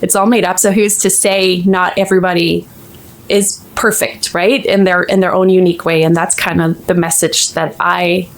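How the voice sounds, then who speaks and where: A woman's voice: fairly low pitch, little pitch movement, moderate pace. Chatty Swedish-accented woman in her forties. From Sweden. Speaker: interview guest, actress. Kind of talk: casual interview chat.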